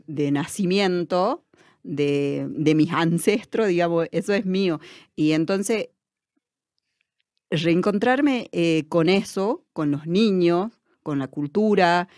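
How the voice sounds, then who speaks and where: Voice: medium pitch, a little mellow, no room sound, clean studio sound. A person with an Argentine Spanish accent, speaking as an interview guest in a reflective way, with many fillers and restarts.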